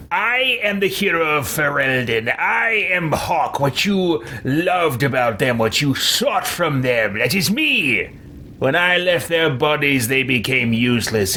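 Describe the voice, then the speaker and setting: Here is a man acting out a manipulative, power-hungry game character with a condescending air. The delivery is slow and theatrical, the pitch swings widely, and there is faint noise behind it. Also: sharp tone. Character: arrogant manipulative power-hungry entity demanding to be served. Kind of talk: theatrical roleplay over a video game.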